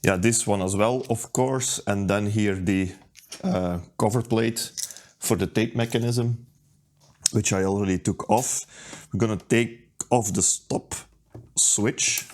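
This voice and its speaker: A man talking through a hands-on repair at his workbench, unhurried and thinking aloud with plenty of ums and uhs. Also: lowish voice, steady tone. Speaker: repair video host. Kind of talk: casual how-to narration.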